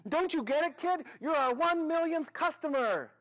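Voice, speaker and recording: A man voicing an excitable, brusque store manager in an emphatic, shouting manner for a comic story. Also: high voice, fast. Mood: exasperated, shouting. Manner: screaming, emphatic.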